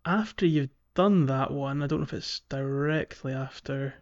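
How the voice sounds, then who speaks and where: Male podcast co-host, mid-pitched, halting explainer, casual chat, lo-fi recording. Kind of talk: casual chat about a video game playthrough.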